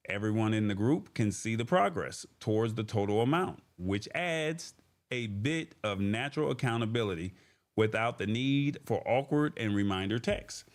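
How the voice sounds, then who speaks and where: A man hosting a tech livestream, talking informally and folksily to his viewers in a casual, chatty way. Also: medium pitch. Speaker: host of a tech livestream show. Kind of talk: casual livestream chat, reading comments and news.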